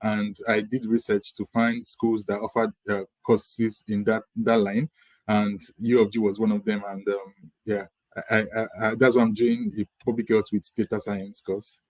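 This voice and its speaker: A man's voice: lowish voice, flat delivery, moderate pace, no room sound, poor audio. A man with a Nigerian accent, a postgraduate student answering questions on an online panel. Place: online video call.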